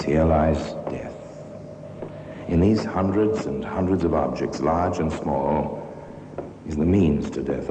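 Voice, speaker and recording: A man playing a grave, macabre museum guide and narrator in a 1950s radio crime drama, speaking slowly and theatrically with dramatic pauses. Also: very deep voice, exaggerated voice. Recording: hissy old 1950s radio recording.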